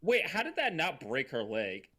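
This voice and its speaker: A woman with a lowish voice that swings very widely in pitch gives casual comic commentary. She sounds incredulous and joking, talking loosely among co-hosts. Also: wildly swooping pitch, moderate pace, crowd noise. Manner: incredulous, crude joking, conversational. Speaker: podcast co-host.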